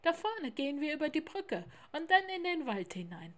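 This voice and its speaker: A woman's voice: sits high, big pitch swings, moderate pace, fairly bright tone, voice pushed up. A woman voicing a brave, caring young male polar bear cub, reassuring and plain-spoken, in a children's storybook. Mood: encouraging.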